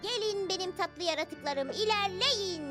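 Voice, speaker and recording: A woman's voice: very high-pitched, measured pace, music in the background. A woman voicing a sweet, emotional little superhero girl with a childlike, melodramatic delivery in a dubbed cartoon. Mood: darkly vengeful.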